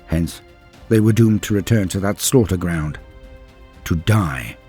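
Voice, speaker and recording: A man delivering dramatic scripted lore narration in a solemn, grave and measured storytelling manner. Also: deep voice, mellow, soft background music. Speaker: narrator and host of a lore channel.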